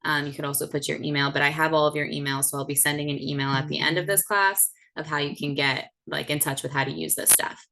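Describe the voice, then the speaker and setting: A woman's voice: low voice, fairly even intonation, quick pace, vocal fry, few pauses, sharp tone. A woman in her thirties hosting a class on a video call, chatty and full of fillers. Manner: chatty, with many fillers and restarts. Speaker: host of the class. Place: home setting indoors.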